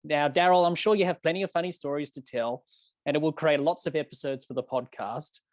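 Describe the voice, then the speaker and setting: A man's voice: a little high, fairly even intonation, a little fast, no room sound. A man hosts a podcast and works through prepared questions. He is earnest and slightly formal, and he sometimes corrects himself mid-sentence. The recording is close and very dry. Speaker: podcast host. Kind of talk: interviewing, reading prepared questions.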